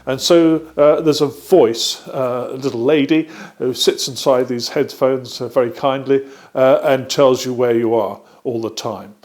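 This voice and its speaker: A British man presenting a product demonstration step by step, explaining methodically and often pausing mid-sentence. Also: medium pitch, fairly even intonation, darkish tone.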